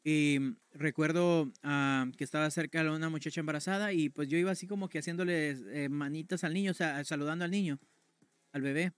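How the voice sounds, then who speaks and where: A man's voice: on the high side, steady tone, a little fast, no room sound. A young man streaming from a room at home, casually telling a personal story to his chat in a slangy, conversational way. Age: young adult. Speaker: game streamer.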